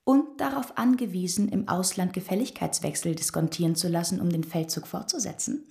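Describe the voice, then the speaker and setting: A woman's voice: fairly low pitch, fairly quick, vocal fry, mellow. A woman in a radio drama playing a scheming baroness who speaks in a pointed, persuasive manner. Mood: insistent, scheming. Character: scheming baroness bargaining with secrets for advice. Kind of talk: German radio drama acting.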